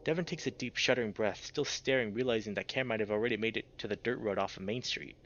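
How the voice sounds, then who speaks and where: A man's voice: on the low side, fast, a bit bright, muffled recording. A man narrating a visual novel in a dramatic, immersed storytelling way, as a player reading aloud.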